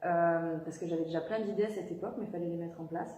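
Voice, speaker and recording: Young woman singer, slightly low voice, halting and filler-heavy, recorded in a roomy space. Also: fairly even intonation, mellow, dull audio, a little hiss or hum. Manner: hesitant, many fillers and restarts. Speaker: singer being interviewed. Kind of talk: casual interview chat. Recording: echoey room.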